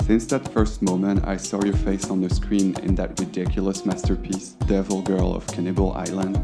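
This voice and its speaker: Deep-voiced, lovestruck film auteur. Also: male voice, gravelly. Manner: ornate, reverent, literary delivery. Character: French film auteur, ardent, florid romantic letter writer. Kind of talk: audio drama, letter read as voice-over.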